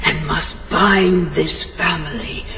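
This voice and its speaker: An actress playing an ancient undead matriarch spirit in a video game, solemn and commanding, with a creaky, ghostly voice. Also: female voice, low-pitched, steady tone, slow, background noise. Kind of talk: dramatic video game acting. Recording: echoing, distant, music underneath.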